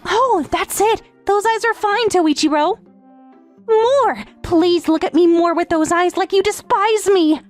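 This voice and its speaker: A woman's voice: very high voice, unhurried, faint music behind. A woman playing a lovestruck, brazenly submissive teenage step-sister in an anime-style romantic comedy dub. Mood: giddy, infatuated.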